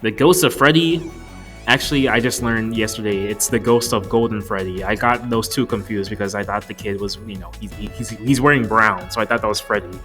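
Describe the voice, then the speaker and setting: A man's voice: on the low side, a little fast, a bit bright, faint music behind. A man narrating a casual, joke-filled video review in a wry, profane, mock-exasperated way. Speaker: movie reviewer.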